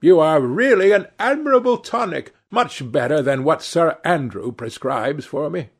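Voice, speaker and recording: A man's voice: a little high, animated, moderate pace, slightly rough, pitched above the performer's own voice. A man voicing a chatty older society hostess in a storybook reading, theatrical and exclamatory. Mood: gushing.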